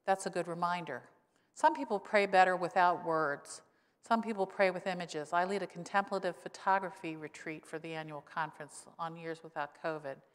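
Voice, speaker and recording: A woman in her sixties, a pastor, preaches a conversational sermon with wry humor from a church pulpit microphone. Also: moderate pace. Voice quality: voice grown lower since illness, she says. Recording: long reverb of a church sanctuary.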